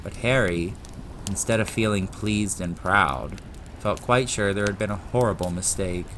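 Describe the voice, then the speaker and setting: Bright-voiced man narrating an audiobook. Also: lowish voice, a little slow, a little hiss or hum. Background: ambient sound effects.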